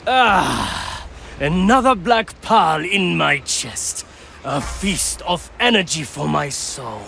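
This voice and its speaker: An actor playing a courteous, proud assassin who cooks aboard a ship in a video game, formal and measured. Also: male voice, on the high side, very animated, creaky, sharp tone, slight background noise, heavily acted. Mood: composed. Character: courteous, proud Japanese assassin and ship's cook.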